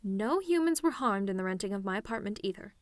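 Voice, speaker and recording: A woman's voice: medium pitch, lively intonation, fast talker, no echo, studio quality, natural voice. A woman playing a cocky, sarcastic tomboy demon with a casual, teasing delivery in an audio roleplay. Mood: indignant. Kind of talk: ASMR-style scripted roleplay monologue.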